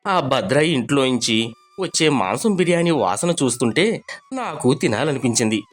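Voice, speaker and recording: A man voicing a poor, humble village laborer in an animated story, earnest and expressive. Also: mid-range voice, normal speed, faint music behind, natural voice. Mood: downcast. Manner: expressive, pleading. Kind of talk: cartoon storybook voice acting.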